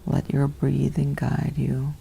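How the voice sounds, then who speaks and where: A woman softly guiding a sleep meditation in a hushed, half-whispered, soothing delivery with long pauses. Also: very deep voice, completely flat delivery, creaky, slight background noise. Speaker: narrator of sleep stories and guided meditations. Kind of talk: slow guided sleep meditation, soothing bedtime reading.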